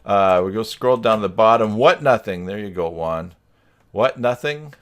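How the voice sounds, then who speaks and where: A man's voice: on the low side, animated, moderate pace. A man hosting a casual video call chat, joking and teasing as he talks. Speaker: live stream host.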